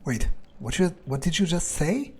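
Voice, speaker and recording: A man's voice: on the high side, sing-song, normal speed, husky. A man role-playing his character in a video game playthrough, thinking aloud in a musing, hesitant, sardonic way. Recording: faint background game ambience.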